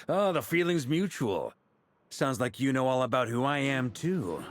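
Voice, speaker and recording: An actor playing an easygoing, shrewd knight commander in a video game, talking in a wry, casual way. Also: male voice, medium pitch, animated, slow. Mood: wry, amused. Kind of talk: video game character acting. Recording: faint background music.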